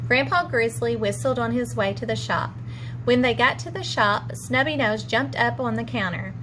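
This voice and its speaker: High-voiced woman reading a children's story. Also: normal speed, a bit bright, slight background noise, rough recording. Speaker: teacher reading a story aloud.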